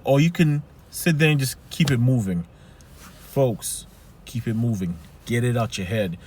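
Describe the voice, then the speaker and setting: A man with a Haitian accent giving an earnest motivational talk as a life coach, often asking "right?". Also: mid-range voice. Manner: earnest, repeats "right?" and "keep it moving".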